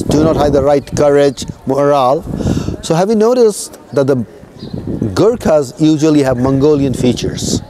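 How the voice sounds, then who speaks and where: A man's voice: a little high, background noise. A man from Nepal guiding tourists outdoors, telling history in a casual, conversational way and often checking in with his listeners. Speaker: tour guide.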